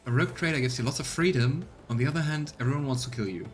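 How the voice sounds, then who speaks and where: A man's voice: mid-range voice, very fast. A man streaming a let's play, giving casual commentary and reading game narration aloud.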